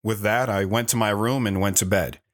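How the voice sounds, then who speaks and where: A man's voice: lowish voice, fairly even intonation, normal speed, soft background music. A man narrating his own past as a scripted drama, telling it plainly while looking back.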